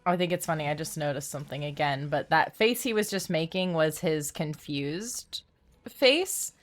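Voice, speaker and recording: A woman's voice: deep voice, normal speed. A woman streaming a game with casual, amused live commentary and giggly asides.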